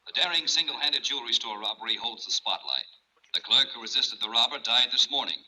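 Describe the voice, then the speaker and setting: An actor playing a brisk American radio newscaster, announcing crisply in 1940s style. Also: male voice, medium pitch, animated, sharp tone, low-quality recording. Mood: urgent, formal.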